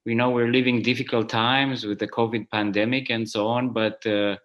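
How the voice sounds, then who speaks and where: A man's voice: medium pitch, flat delivery, moderate pace, fairly bright tone, highs cut off. A man in his forties, originally from Romania, giving a plenary math talk over a video call, hesitant with many fillers and restarts. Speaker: guest speaker, math professor. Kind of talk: online conference talk.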